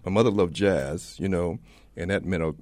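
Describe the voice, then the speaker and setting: Deep-voiced rambling man from Connecticut. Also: moderate pace, a crowd in the background. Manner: rambling, lots of "you know". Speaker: panel guest. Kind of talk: casual panel conversation.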